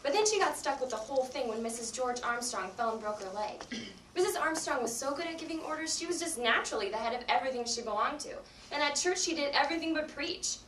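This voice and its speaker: A young woman narrates scripted readers theatre on stage. Her voice is on the high side and distant from the mic, in a roomy space with noticeable noise. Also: expressive, fast, fairly bright tone. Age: young adult. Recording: echoey room, distant mic, clear noise.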